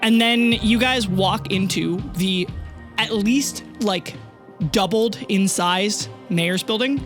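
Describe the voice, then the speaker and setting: A game master improvises scene descriptions for players at a tabletop game. The speech is casual and a little profane, with music clearly audible underneath. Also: mid-range voice, slow pace, fairly bright tone.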